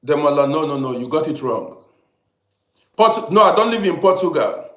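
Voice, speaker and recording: A man's voice: on the high side, animated, moderate pace, a bit bright, reverberant. A man from Africa, hosting a casual livestream, chatting and greeting viewers by name, repeating himself as he talks. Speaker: livestream host. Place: lives in Europe.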